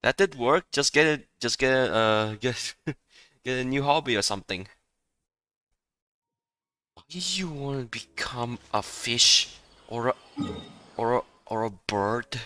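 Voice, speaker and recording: Clear, bright-voiced man talking slowly. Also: medium pitch, expressive, dry recording. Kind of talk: casual off-the-cuff commentary.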